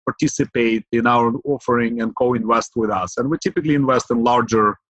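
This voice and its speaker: A middle-aged man from Ukraine, speaking English as an in a steady, earnest manner. He works through his points at a measured pace, dropping fillers and asking "right?". The sound is dry and a bit dull, like a remote call. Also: medium pitch, dry recording. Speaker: guest, real estate investor. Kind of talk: podcast interview.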